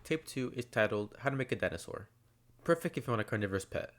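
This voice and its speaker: A man's voice: medium pitch, lively intonation, fast talker, fairly bright tone. A man hosting a video, giving scripted analysis commentary. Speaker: YouTube host. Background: faint music.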